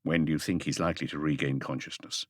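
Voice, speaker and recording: A man voicing a calm, courteous chief detective in an audiobook, speaking in a measured, polite way. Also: dry recording, studio quality. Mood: quietly concerned. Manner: measured, low-key, polite.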